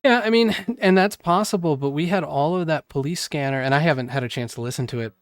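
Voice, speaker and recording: A man's voice: fairly high pitch, quick pace, no room sound, clean studio sound. A man hosting casual reaction talk, explaining things in a skeptical way. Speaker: show host.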